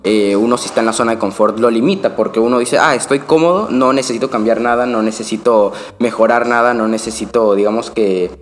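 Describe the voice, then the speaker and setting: A man's voice: mid-range voice, fairly even intonation, a little fast, few pauses. A young man from Venezuela co-hosting a podcast, talking conversationally about self-improvement. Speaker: podcast co-host. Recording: long reverb, music behind the voice. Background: music.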